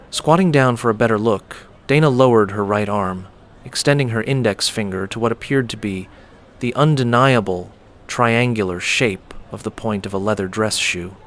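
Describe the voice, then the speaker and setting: A man reading a dramatic scripted story as a storyteller, with deliberate, theatrical phrasing. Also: fairly low pitch, a bit bright, slight background noise. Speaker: storyteller and host.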